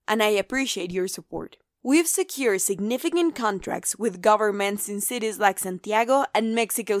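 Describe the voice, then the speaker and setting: A woman's voice: mid-range voice, no room sound, studio quality. A woman acting a prim company executive in an audio drama, formal and polite in manner. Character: prim company executive giving a conference presentation.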